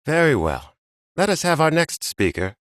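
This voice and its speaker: A grand male master of ceremonies from a game. His voice is bright and fairly high, swinging widely as he proclaims. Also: big pitch swings, moderate pace, no room sound, clean studio sound. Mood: formal, presiding. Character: ceremonious seminar leader summoning wise elders. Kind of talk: video game character dialogue.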